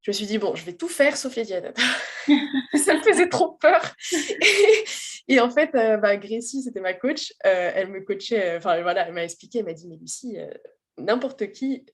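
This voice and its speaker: A woman's voice: mid-range voice, expressive, breathy edge. Candid woman telling her story informally. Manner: candid, informal, halting and emphatic. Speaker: interview guest giving a personal testimonial. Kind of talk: casual personal storytelling.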